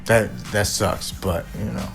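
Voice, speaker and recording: Low-voiced rambling man. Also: measured pace, creaky, fairly bright tone, faint music behind. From United States. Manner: rambling, frequent "you know" fillers. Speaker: co-host. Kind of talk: casual chat.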